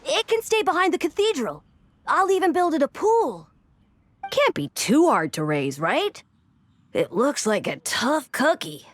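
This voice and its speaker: A woman's voice: high voice, very animated, unhurried. A woman playing a cheerful, sweet young church girl in a video game, speaking eagerly. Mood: hopeful pleading. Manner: eager, earnest. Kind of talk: video game character dialogue.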